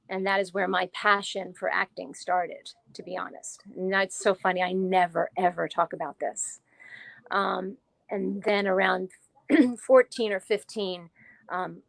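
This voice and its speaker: A woman in her forties from New Jersey, a guest author telling her life story in a casual interview, chatty and animated, laughing often. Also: medium pitch, gravelly, no room sound. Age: 40s.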